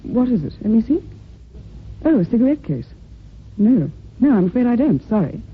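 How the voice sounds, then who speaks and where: Prim secretary, husky, animated, tinny recording. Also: female voice, a little high, moderate pace, exaggerated voice. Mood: polite. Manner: prim, formal, emphatic. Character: prim, defensive, devoted female secretary. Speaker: actor in a radio mystery. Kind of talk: old-time radio drama acting. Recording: thin, phone-like old radio recording.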